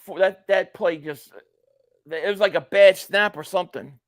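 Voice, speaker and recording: A man in his late forties with a notably high voice, chatting loosely through a live stream and drifting into jokey asides between viewer comments. The sound is dry and close, with no room around it. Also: normal speed, dry recording. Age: 40s. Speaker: live stream host reacting to a football game. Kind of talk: casual live stream chat, reading viewer comments aloud.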